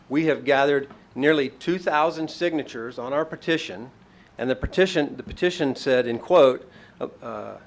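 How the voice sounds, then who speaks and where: Man, mid voice, steady prepared remarks at a podium mic in a courtroom. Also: medium pitch. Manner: measured, appreciative. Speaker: public commenter. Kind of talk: prepared public statement. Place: county courtroom.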